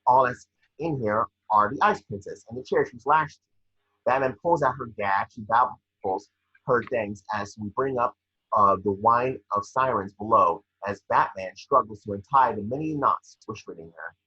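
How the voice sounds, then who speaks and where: A man with a husky voice reading scene descriptions at a table read, sometimes stumbling over the script. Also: medium pitch, moderate pace, bright, forceful tone, dull audio.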